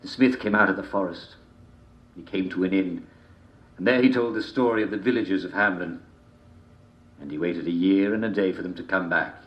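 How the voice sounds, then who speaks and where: A man telling a dark fairy tale in a theatrical, deliberate manner, with repeated phrases and dramatic pauses. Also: low voice. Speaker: storyteller reading a folk tale. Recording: dull, roomy, echoing home recording.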